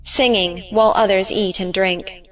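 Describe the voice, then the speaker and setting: A woman reading stage directions as scripted narration, measured and matter-of-fact. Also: medium pitch, steady tone, fairly bright tone, soft background music, low-quality recording.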